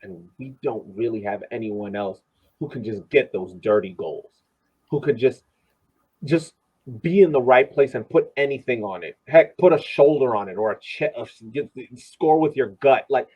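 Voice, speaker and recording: A man's voice: medium pitch, lively intonation, moderate pace, breathy edge, muffled recording. A man discussing soccer on a casual podcast, speaking emphatically and often repeating words as he goes. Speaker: podcast host and soccer supporter.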